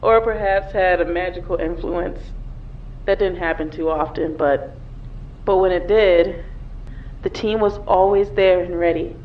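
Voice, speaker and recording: A woman playing a composed superhero mother in a recorded message, explaining things in a measured way. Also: lowish voice, steady tone, close to an everyday voice. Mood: fond, reminiscing. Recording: dull, noisy video playback.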